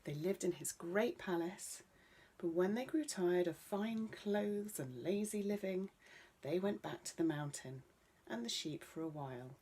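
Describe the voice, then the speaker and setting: Bedtime-story narrator: woman, lower register, husky and breathy tone, reading for children. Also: a little slow, warm tone.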